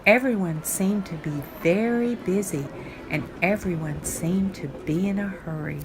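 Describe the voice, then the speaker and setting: An older woman reading a children's picture book aloud, with deliberate, expressive phrasing for young listeners. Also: lowish voice, background noise. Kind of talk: read-aloud storytime narration. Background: background music.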